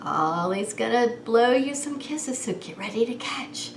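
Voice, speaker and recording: A woman hosting story time as a preschool teacher, reading in a sing-song, animated way and coaxing small children with questions. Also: fairly high pitch, unhurried, gravelly. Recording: roomy echo with some background noise.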